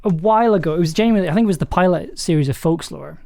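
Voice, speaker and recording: A person casually talking through audio editing work on a livestream, halting and trailing off while thinking aloud. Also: medium pitch, creaky, darkish tone, no echo. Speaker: audio drama maker streaming the editing process.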